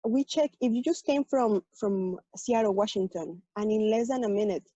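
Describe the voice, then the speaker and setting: A woman's voice: medium pitch, normal speed, warm tone, no echo. A woman from Mexico with a Mexican accent, Spanish her first language, earnestly explaining her work and story with fillers and restarts. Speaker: tech program manager, panel guest. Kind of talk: personal story on a virtual panel. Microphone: video call. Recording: dull lo-fi video call audio.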